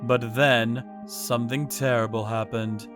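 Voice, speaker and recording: A man voicing a hardboiled male vigilante who narrates the story in a grim, steady manner in a manga dub. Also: medium pitch, unhurried, faint music behind, lightly acted.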